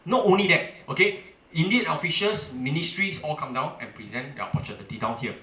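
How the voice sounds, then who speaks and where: A man's voice: a little high, expressive, fairly quick, bright, forceful tone, reverberant. A middle-aged man from Singapore with a Singaporean English accent, giving a seminar talk as a chamber president, emphatic and full of "okay" and "ah" fillers, often asking the audience questions.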